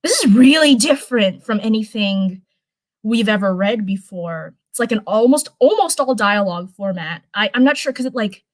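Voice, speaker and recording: A woman's voice: medium pitch, animated, moderate pace. A woman with a mid-range voice hosts a live reading stream. She is informal and chatty, speaking casually as if straight to her viewers. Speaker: host of a live stream reading fan fiction. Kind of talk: casual livestream chat and live story reading.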